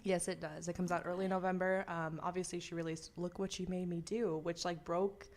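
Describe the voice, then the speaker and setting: A woman's voice: fairly low pitch, little pitch movement, fairly quick, a little mellow. A young American woman chatting casually on air as a radio host.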